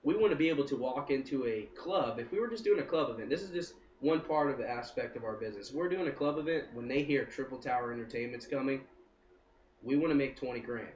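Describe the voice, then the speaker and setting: A man's voice: medium pitch, fast talker, darkish tone, a little hiss or hum. Young man rambling in a roomy, distant recording. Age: 20s. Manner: rambling, upbeat, with frequent "I mean" fillers. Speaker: entertainment company co-founder. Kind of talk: casual podcast interview. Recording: roomy, distant and dull sound.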